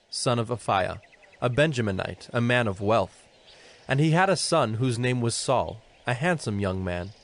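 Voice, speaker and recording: A man narrating a dramatized scripture reading from a script. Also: mid-range voice.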